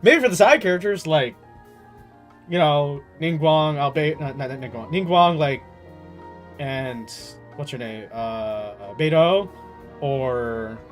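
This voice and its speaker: Animated man ranting casually over music. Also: mid-range voice. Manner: animated, slangy, exasperated ranting with swearing. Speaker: game streamer. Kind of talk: casual gaming commentary.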